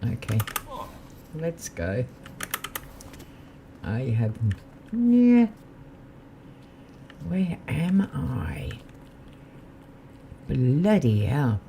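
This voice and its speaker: A man with a slightly croaky voice, chatting casually and thinking aloud while he live-streams a game. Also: medium pitch, very animated, extremely slow, pauses often, dark tone, a little hiss or hum.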